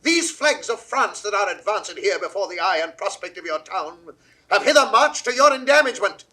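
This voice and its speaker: A man's voice: high voice, big pitch swings, brisk, pushed and bright, full character voice. An actor playing an imperious, defiant English king in a classical Shakespearean audio drama, with grandiloquent old theatrical British delivery. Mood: commanding, rhetorical. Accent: British English, old theatrical received pronunciation. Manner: grandiloquent, sweeping oratory. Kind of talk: mid-century classical Shakespearean stage declamation. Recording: 1960s studio audio drama recording.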